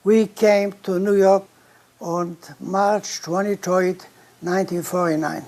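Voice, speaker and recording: A man's voice: high-pitched, fairly even intonation, slow pace, a little mellow. An elderly man from Poland, recalling his life in short, blunt sentences as the grandfather in a family interview. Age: older. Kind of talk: plain spoken recollection.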